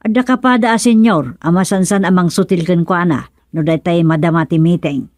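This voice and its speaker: A woman's voice: on the low side, normal speed, a little mellow, dry recording, studio quality, lightly acted. An actress playing an exasperated, teasing woman who chides the elderly in a radio comedy drama. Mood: matter-of-fact.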